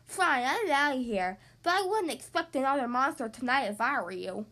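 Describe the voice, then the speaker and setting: A woman's voice: high voice, expressive, normal speed, a bit bright. A woman voicing a big, scruffy boy monster who is blustering, slurpy and whiny.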